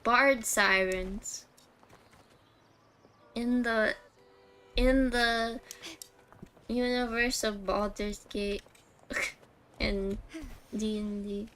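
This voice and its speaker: Unhurried, higher-voiced woman streamer. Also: steady tone, fairly bright tone, low-quality recording. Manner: musing, jokey. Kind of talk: casual live game commentary. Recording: streamed voice over faint game music.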